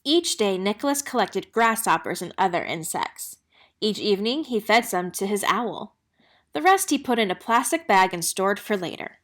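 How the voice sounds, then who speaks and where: A woman's voice: medium pitch, expressive, moderate pace. Woman reading a children's picture book aloud. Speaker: read-aloud narrator.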